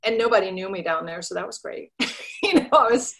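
A middle-aged woman, a podcast guest, chatting casually and telling animated stories with quoted voices. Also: mid-range voice, fairly quick. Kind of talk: casual interview chat.

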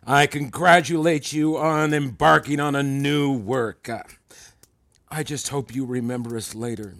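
A man's voice: mid-range voice, steady tone, unhurried, gravelly, a bit bright, no echo, clean studio sound. An actor playing a courteous, admiring male professor with a formal, gracious way of speaking, in a fiction podcast. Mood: admiring, measured.

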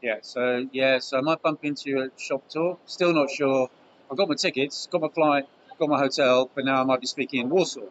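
A man's voice: medium pitch, fairly quick, dull audio. A man hosting a casual podcast interview. He jokes and often stumbles over repeated words. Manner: hesitant, repeats words, joking. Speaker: podcast host.

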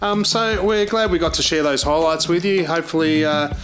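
A man's voice: on the high side, brisk, a bit bright, soft background music. A man with an Australian accent, telling stories from his job casually as a podcast host. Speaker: podcast host, private investigator.

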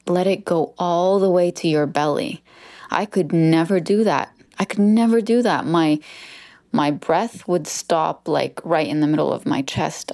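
A woman's voice: low voice, a little mellow, no echo, studio quality. A woman guest on a podcast, speaking earnestly and heartfelt in a reflective conversation.

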